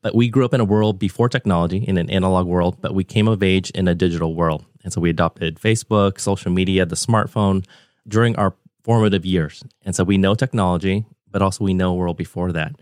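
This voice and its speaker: Fast-talking low-voiced man hosting a podcast. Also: darkish tone, dry recording, studio quality. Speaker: podcast host. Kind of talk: conversational interview. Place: remote call over a screen.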